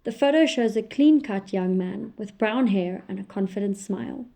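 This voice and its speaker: A woman reading the scripted narration for an audio drama, with a mellow, darker voice. She keeps an even, descriptive pace through the scene-setting. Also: medium pitch, normal speed, vocal fry.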